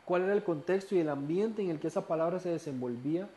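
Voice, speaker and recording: A man with a Costa Rican accent teaching a recorded Bible study lesson, explaining calmly and posing rhetorical questions. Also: a little high, fast. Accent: Costa Rican Spanish. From Costa Rica. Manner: calm explaining, rhetorical questions, occasional restarts.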